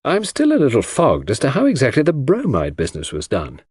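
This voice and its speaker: A man voicing a puzzled, impatient English gentleman who grumbles and questions his detective friend in an audiobook. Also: medium pitch, wildly swooping pitch, brisk, breathy edge, dry recording, clean studio sound. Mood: puzzled, curious.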